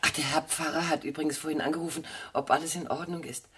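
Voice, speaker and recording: A woman's voice: sits low, steady tone, fast, fairly bright tone, breathy edge, full character voice. An actress playing a busy, practical, kindly older lady in a German radio drama, chatty and fussing. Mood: chatty, pleased.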